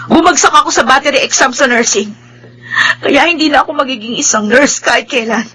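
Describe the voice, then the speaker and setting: A resentful young Filipina in a radio drama. Her voice is very high and her delivery scornful and heightened. Clear background noise runs through the recording. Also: brisk, pushed and bright. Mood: anguished, upset. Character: bitter, resentful young woman, a failed nursing student.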